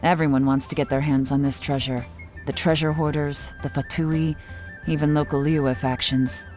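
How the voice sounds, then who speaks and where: A woman acts out a shrewd video game investigator. The voice is low for a woman, composed and analytical. Lines come out measured and knowing over background music. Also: flat delivery, darkish tone, natural voice.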